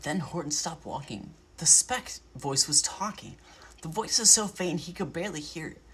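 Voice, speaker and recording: A man reading a children's storybook aloud in an animated narration style, as a bedtime story livestreamer. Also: a little high, normal speed, slight background noise.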